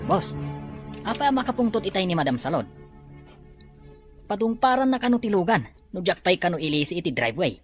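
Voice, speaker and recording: A man's voice: high-pitched, big pitch swings, brisk, long pauses. A man playing a chatty, curious underling addressing his boss in an Ilocano drama. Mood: curious, deferential. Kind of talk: Ilocano radio-style drama acting. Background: music.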